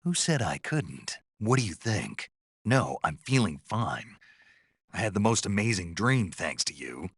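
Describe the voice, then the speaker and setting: A gruff special forces operative from a video game. His voice is deep and husky, rising and dipping widely even as he stays terse and deadpan. He comes across as stoic with a dryly naive streak, captured close and dry. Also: unhurried, no echo, exaggerated voice. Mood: dry, disgruntled. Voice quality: gruff, raspy.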